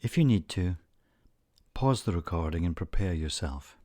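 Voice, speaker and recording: A man narrating a scripted guided meditation in a soothing, measured manner, leaving frequent pauses between short phrases. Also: low voice, lively intonation, mellow, no echo.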